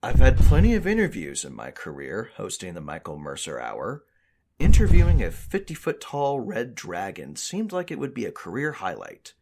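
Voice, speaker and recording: Man playing a low-voiced, animated news reporter. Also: moderate pace, creaky, slight background noise, exaggerated voice. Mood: showy, polished. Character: male TV news reporter, showy but easily rattled. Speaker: news show host. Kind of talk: audio drama acting as broadcast narration.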